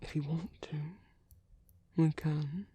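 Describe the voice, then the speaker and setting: Someone voicing a breathy, whispering tender villain lover. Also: medium pitch, fairly even intonation, dry recording. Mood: gentle, protective. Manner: slow, gentle, hushed, reassuring. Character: tender reformed villain, soothing and protective lover. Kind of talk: intimate ASMR romantic roleplay.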